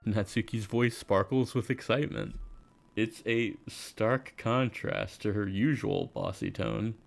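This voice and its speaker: A man's voice: lowish voice, unhurried, vocal fry, faint music behind. A man playing an easygoing, self-deprecating teenage schoolboy, casual and reflective, in first-person visual novel narration and dialogue.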